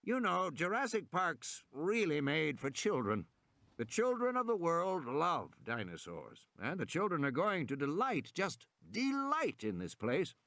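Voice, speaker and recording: A man's voice: on the high side, huge pitch swings, measured pace, creaky, a bit bright, no echo, voice pushed up, full character voice. A man voicing a genial, stubborn, sentimental man in his seventies for an audiobook, insistent and lingering on his words. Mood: wistful, sentimental. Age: 70s. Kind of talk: audiobook character acting.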